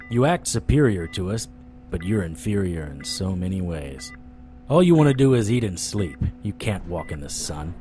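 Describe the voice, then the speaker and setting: A man's voice: fairly low pitch, warm tone, natural voice. An actor playing a sardonic vampire-hunting private eye, telling his story in a dramatic noir audio drama style, over music. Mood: defiant, sardonic. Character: hard-boiled, wisecracking male vampire-hunting private eye. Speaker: narrator and lead actor. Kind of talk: first-person noir audio drama narration. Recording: music bed under the voice.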